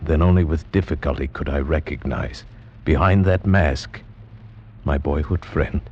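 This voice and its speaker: An actor playing a grave, dread-filled gentleman, narrating solemnly and deliberately in theatrical old-time radio horror style. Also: rumbling low, slight background noise. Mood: brooding dread. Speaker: narrator and visiting friend. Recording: muffled vintage radio recording with music. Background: music.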